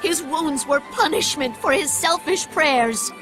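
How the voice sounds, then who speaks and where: An anxious, pious mother in a fantasy game. Her voice is fairly high, with an earnest and courteous formality. Music is clearly audible behind her. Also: lively intonation, measured pace. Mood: anxious, pleading. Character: devout, anxious mother pleading with her priest. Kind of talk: dubbed fantasy game cutscene acting.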